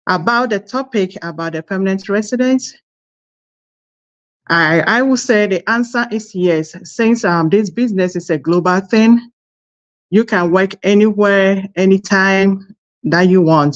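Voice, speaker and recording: A woman from Ghana introducing herself on a group video call. She speaks in a soft, measured, slightly halting way. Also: lowish voice, muffled recording. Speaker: entrepreneur and panelist.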